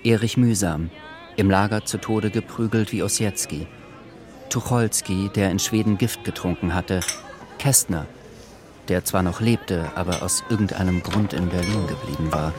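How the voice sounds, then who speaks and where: A man's voice: low voice, normal speed. A man reading literary scripted narration as the narrator of a radio play, with music behind him.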